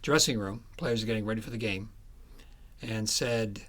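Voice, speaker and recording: A man speaking as an author in a conversational interview about his book, halting as he searches for words. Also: lowish voice, fairly bright tone.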